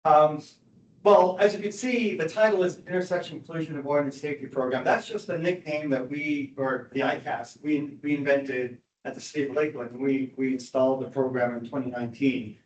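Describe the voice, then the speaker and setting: A man presenting at a public meeting with a loud voice and a joking manner. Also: mid-range voice, brisk. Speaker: traffic safety presenter. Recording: dull, echoey meeting room audio.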